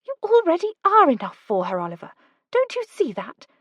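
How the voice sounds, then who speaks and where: Spirited young matchmaker, read by a woman: higher, eager, insistent. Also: wildly swooping pitch, fairly quick, fairly bright tone, no echo, heavily acted. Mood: urging. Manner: urgent, coaxing.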